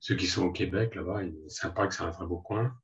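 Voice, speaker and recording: A man with a very deep voice chats hesitantly during an online meeting. His audio comes through dull and lo-fi, and he sounds set back from the mic. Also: fairly even intonation, brisk, fairly bright tone. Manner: hesitant, with fillers. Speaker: participant. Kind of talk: casual chat on a video call. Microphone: video call. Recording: distant, dull lo-fi call audio.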